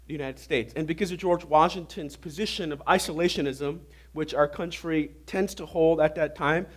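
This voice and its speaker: Male pastor, raspy and hoarse from a flu, pitched high, animated and quizzing the crowd. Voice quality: normally deep voice, now raspy. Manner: animated preaching, quizzing the audience with questions. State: hoarse, getting over a flu. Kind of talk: sermon. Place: church hall before an audience.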